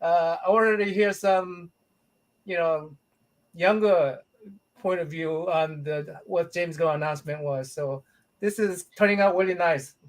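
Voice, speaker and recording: Stammering older man with a high voice. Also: measured pace, low-quality recording. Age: 60s. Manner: stammering, repeats words. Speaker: host interviewer. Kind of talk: casual interview.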